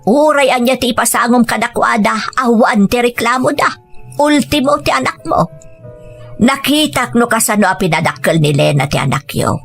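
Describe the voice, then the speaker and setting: A woman's voice: a little high, lively intonation, moderate pace, vocal fry, faint music behind. A woman playing a solemn, secretly caring older mother-in-law in an audio drama, speaking sincerely. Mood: approving.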